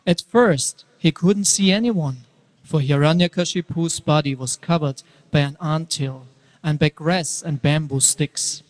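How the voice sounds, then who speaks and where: A man's voice: fairly high pitch, measured pace. A man narrating a scripted mythological story for a dramatic stage reading. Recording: reverberant hall.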